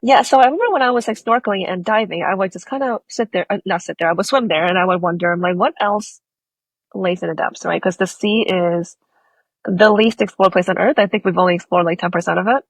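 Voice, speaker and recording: A woman's voice: medium pitch, quick pace, slightly rough, no room sound. A woman author who grew up in Singapore, with a faint accent, chatting animatedly as an interview guest and acting out her own reactions. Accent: Singaporean-tinged American. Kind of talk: conversational interview.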